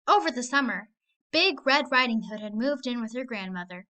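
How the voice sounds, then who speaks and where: A woman narrating a children's story aloud in an expressive read-aloud style. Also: on the high side, normal speed. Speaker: storybook reader.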